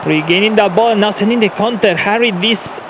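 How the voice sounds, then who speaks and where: Male esports analyst, high-pitched, short clipped phrases, heavy crowd and noise behind. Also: brisk. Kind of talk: analytical match commentary. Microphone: headset. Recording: loud crowd and background noise.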